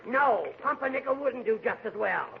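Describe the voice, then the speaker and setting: An actor playing a fussy, dyspeptic, peevish train passenger in a 1940s radio comedy. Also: male voice, very high-pitched, animated, moderate pace, vocal fry, exaggerated voice. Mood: outraged. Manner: peevish, snappish, querulous. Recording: muffled, hissy 1940s radio broadcast.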